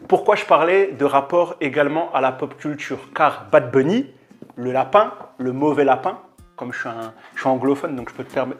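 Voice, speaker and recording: A man hosting a casual unboxing vlog, talking in an informal, chatty, slangy way. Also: mid-range voice. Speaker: YouTube host.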